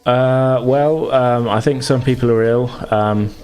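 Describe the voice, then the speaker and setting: A man's voice: medium pitch, fairly even intonation, measured pace, darkish tone, voice pushed up, natural voice. A man playing an evasive, brusque sports teacher in a tabletop game, hesitant and curt.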